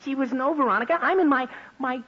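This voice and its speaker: An actor playing an eager, gawky teenage son, earnest and gee-whiz, in a 1940s radio comedy. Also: male voice, very high-pitched, quick pace. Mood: lovestruck eagerness. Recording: noisy, muffled old 1940s radio recording.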